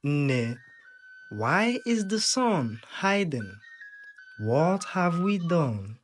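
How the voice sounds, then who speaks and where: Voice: medium pitch, very animated, very slow, faint music behind. A storyteller voicing an anxious, earnest young village girl in a folktale, full of questions. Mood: worried, questioning.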